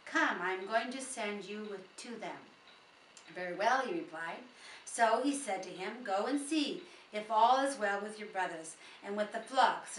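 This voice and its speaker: A woman with a mid-pitched voice reads scripture aloud, dropping in informal asides. She is some way from the microphone in a roomy space, with faint noise behind her. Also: fairly bright tone, far from the mic. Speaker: Bible reader.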